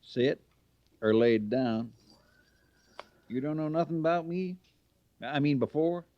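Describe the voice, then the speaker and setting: An actor playing a bigoted, needling ex-convict army recruit in an old radio western, with a folksy, ungrammatical drawl. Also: male voice, on the high side, slow. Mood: grumbling. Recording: muffled vintage radio recording.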